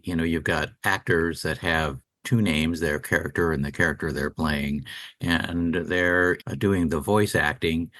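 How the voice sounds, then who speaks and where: A man's voice: deep voice, fairly bright tone, dry recording. A man co-hosting a radio talk show, putting questions to his guests. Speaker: interviewer, co-host.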